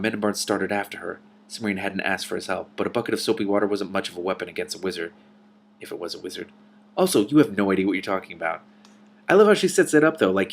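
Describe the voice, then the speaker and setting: A man reading a novel aloud and adding his own commentary, with an expressive, animated delivery. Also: on the low side, creaky. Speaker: reader and commentator.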